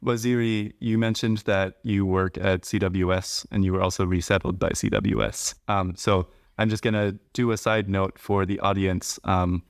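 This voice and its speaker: A man hosting a podcast interview, speaking in a measured, explanatory way. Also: low voice, fairly even intonation, clear, resonant tone, dry recording, studio quality. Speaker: podcast host.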